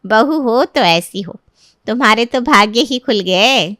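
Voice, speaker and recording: A nosy middle-aged auntie from a storybook, put on by a woman narrator. She drawls and teases in a fairly high, effusive voice. The sound is dry. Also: expressive, measured pace, fairly bright tone, no room sound. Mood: effusive praise.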